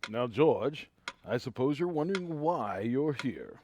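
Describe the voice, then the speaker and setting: A man playing a scheming old tycoon in a radio drama, speaking in a measured, formal way. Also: medium pitch, big pitch swings, a little mellow, a little hiss or hum. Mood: smugly formal.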